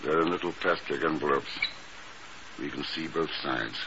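An actor playing a cool, terse, world-weary secret agent in a 1950s radio drama, speaking dryly and haltingly. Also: male voice, very deep voice, creaky. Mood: dry, impatient. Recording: muffled, noisy early-1950s radio recording.

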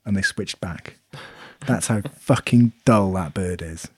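Animated man on a dry mic. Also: low-pitched, a little slow, breathy edge, no echo. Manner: animated, laughing, sweary. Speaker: podcast co-host. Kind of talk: casual chat, reading out reviews.

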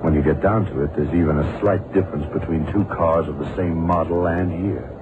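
An actor playing a seasoned, shrewd FBI investigator, terse and methodical, in an old radio drama. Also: male voice, sits low, brisk, close to an everyday voice. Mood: terse, watchful. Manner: terse, probing, methodical questioning. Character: seasoned, shrewd male FBI investigator, commanding older colleague. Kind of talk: 1960s-70s radio drama acting. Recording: muffled, noisy old radio drama recording.

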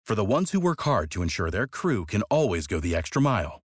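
A man with a husky voice reading a scripted commercial in an earnest, emphatic way. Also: on the low side, very animated, fairly quick, no room sound, studio quality, faint music behind. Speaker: ad voice-over.

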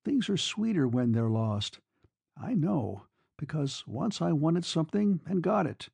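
A narrator acts out a disillusioned young male soldier in a literary story. His voice is mellow and creaky, brooding and wistful, the sound of a weary, self-absorbed sentimental lover. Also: on the high side, animated, a little slow, dry recording, pitched above the performer's own voice, full character voice.

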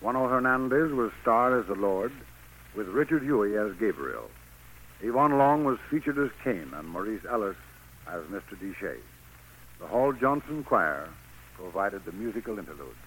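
Bright-voiced vintage radio announcer man. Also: lowish voice, very animated, normal speed, a little hiss or hum, poor audio. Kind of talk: formal 1940s radio announcing. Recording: vintage 1940s radio broadcast.

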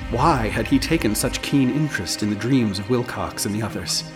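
An actor playing a grave, scholarly man who narrates a horror tale in a measured, literate, ominous way for radio drama. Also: fairly low pitch, lightly acted. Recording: music under the voice.

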